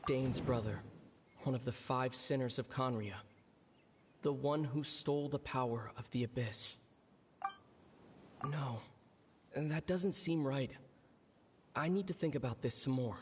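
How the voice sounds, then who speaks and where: A man playing a serious, pensive character in a video game, deliberating in measured inner monologue. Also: mid-range voice, noisy background, lightly acted. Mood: grave realization. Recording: long reverb on the voice.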